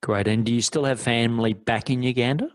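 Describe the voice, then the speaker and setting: A man's voice: mid-range voice, steady tone, a little fast, dry recording. A man hosting a podcast interview, asking friendly and encouraging questions. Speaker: podcast host. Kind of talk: casual interview.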